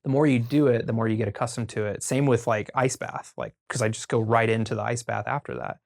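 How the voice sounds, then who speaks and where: An American man chatting casually as a podcast guest, telling stories informally and haltingly as he thinks aloud. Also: medium pitch, steady tone, vocal fry. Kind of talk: casual conversational storytelling.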